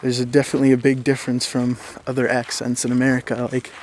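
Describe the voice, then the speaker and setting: Young man, southern Minnesotan accent, flat even delivery, casual vlog, faint background hiss. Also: mid-range voice, fast talker, rough recording. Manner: rambling, many fillers and restarts. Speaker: vlogger. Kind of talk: casual talk to camera.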